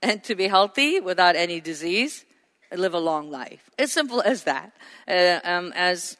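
A woman's voice: on the low side, expressive, normal speed, fairly bright tone. A woman pastor preaching a sermon, earnest and asking her congregation questions. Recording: hall reverb.

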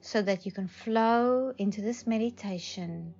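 A woman leading a guided meditation in a soothing manner, speaking in short phrases with long pauses. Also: mid-range voice, creaky, darkish tone. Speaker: psychic advisor, meditation guide. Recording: slightly dull audio. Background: faint music.

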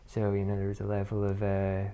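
A man's voice: on the low side, completely flat delivery, fairly quick, mellow, smooth, lo-fi sound. A Scottish man from Inverness, maybe in his thirties, telling his business story in a chatty, wry way, often correcting himself. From Scotland. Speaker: business co-founder guest. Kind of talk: casual interview chat.

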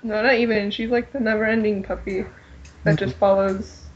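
A woman's voice: mid-range voice, steady tone, normal speed, a little hiss or hum. A woman talking casually as a podcast panelist over dull, lo-fi audio. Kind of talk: casual chat.

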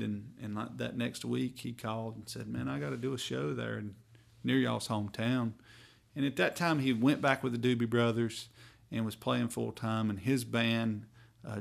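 Gravelly North Carolina man, flat drawl. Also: mid-range voice. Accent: Southern US, North Carolina. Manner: rambling, folksy, drawn-out phrasing. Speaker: bluegrass musician guest. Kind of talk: casual storytelling interview. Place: hotel room.

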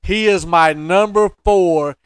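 A man's voice: high voice, slow, smooth, dry recording. A man co-hosting a podcast, chatting casually and making his points in an emphatic, teasing, opinionated way. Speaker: podcast co-host. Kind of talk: casual sports-entertainment debate chat.

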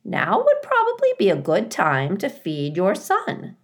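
A woman's voice: medium pitch, huge pitch swings, unhurried, voice pushed up. A woman voicing a blunt, fussy, motherly female goose in a children's storybook, with squawking, emphatic, opinionated delivery. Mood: breezy, instructive. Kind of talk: children's storybook character reading.

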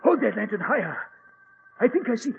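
Casting: imperious British explorer-scholar, high-pitched, dismissive and eager, old radio drama with tinny band. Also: male voice, a little fast, creaky, slight background noise, full character voice. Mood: brusque. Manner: commanding, excitable. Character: eminent British male archaeologist, bold and dismissive. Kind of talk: 1940s radio drama acting.

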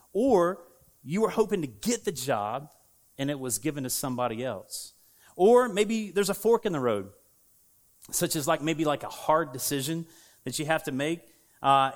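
A man with a Southern American accent preaching a sermon as a pastor, in a casual, conversational way with frequent fillers and asides to the congregation. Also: fairly high pitch. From United States. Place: church sanctuary.

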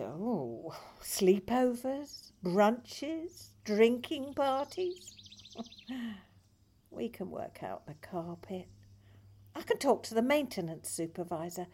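A woman acts out a patient hospice nurse in an audio drama. Her voice is husky and moves up and down expressively as she gently reassures, with small hesitations. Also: medium pitch, very animated, takes it slowly, exaggerated voice. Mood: calm, reassuring. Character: patient, caring female hospice nurse on long shifts. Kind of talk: audio play dialogue.

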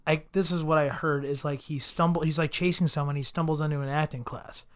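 A man's voice: fairly high pitch, steady tone, a little fast. A man co-hosting a podcast, talking in an upbeat, loose way. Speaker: podcast co-host. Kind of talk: casual podcast chat.

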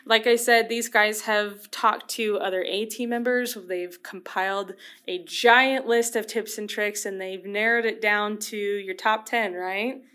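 A woman's voice: medium pitch, fairly bright tone. A woman hosting a student podcast, speaking in an enthusiastic, encouraging way. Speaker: podcast host.

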